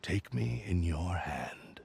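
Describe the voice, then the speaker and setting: A man's voice: sits low, expressive, slow, vocal fry, background noise, exaggerated voice. A man voicing a haughty cursed sword spirit in a video game, with disdainful, formal delivery. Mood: scornful, commanding.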